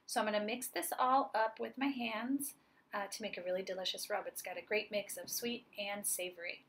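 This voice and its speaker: A woman's voice: medium pitch, steady tone, brisk, a bit bright. A woman in her forties, a chef, giving a friendly, upbeat cooking demonstration and explaining each step plainly. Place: home kitchen, indoors.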